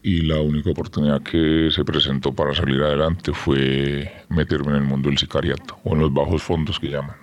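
A man with a Colombian Spanish accent and an extremely low, deep voice. He answers plainly and tersely, with little flourish. His tone stays flat and matter-of-fact. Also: fairly quick, few pauses. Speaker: interviewee. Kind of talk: interview answers.

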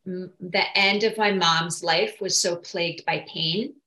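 A woman's voice: lowish voice, steady tone, unhurried, pushed and bright, roomy sound, poor audio. A woman co-hosting a podcast interview, thoughtful and searching for her words. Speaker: podcast co-host. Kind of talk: conversational interview.

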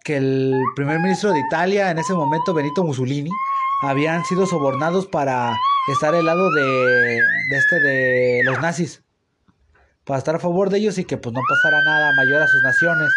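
A man's voice: fairly high pitch, huge pitch swings, normal speed. A man in his thirties with a Mexican accent, hosting a casual podcast chat and rambling in slang with lots of restarts. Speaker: podcast host. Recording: noisy home podcast recording.

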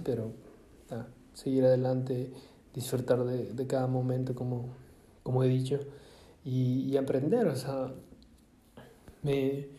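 A man from Ecuador musing aloud in a casual monologue, halting often with fillers and restarts. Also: mid-range voice, mellow. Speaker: vlogger. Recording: echoey room.

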